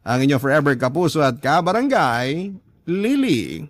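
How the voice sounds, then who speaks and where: A man's voice: on the high side, big pitch swings, normal speed, dry recording, clean studio sound. A man with a Filipino accent, a radio host reading a listener's letter in a heartfelt, dramatic narration.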